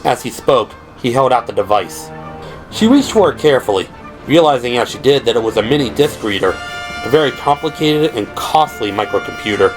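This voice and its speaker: A man's voice: medium pitch, sing-song, a little fast, rough recording. A man narrating an audio drama from a script in a dramatic, storytelling style. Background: music.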